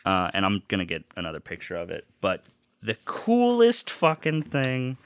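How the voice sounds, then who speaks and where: Very deep-voiced man, casual and enthusiastic. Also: huge pitch swings, normal speed, dry recording, studio quality. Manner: enthusiastic, profane, emphatic repetition. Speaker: vlogger unboxing vinyl and merchandise. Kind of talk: casual, rambling fan chat to viewers.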